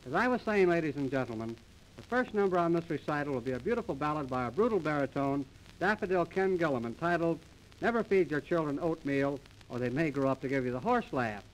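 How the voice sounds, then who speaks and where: High-voiced wisecracking 1930s radio man. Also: expressive, fast talker, slight background noise, rough recording. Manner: mock-formal, wisecracking. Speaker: comedy duo host, announcer and straight-faced joker. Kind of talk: 1930s radio comedy patter and announcing. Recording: early 1930s radio transcription disc.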